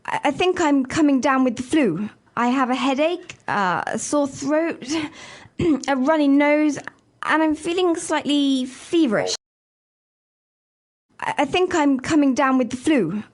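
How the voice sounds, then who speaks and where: A woman reading scripted dialogue parts for an English listening lesson, speaking clearly and carefully. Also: high-pitched, lively intonation, a little slow. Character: woman calling in sick, then a polite hotel receptionist. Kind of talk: scripted role-play dialogue.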